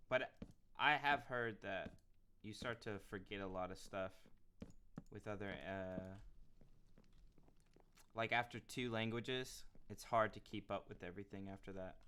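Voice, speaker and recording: A man live streaming a building game, chatting casually with his viewers and reacting with excited exclamations. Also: on the low side, slight background noise. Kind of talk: casual live-stream chat while gaming.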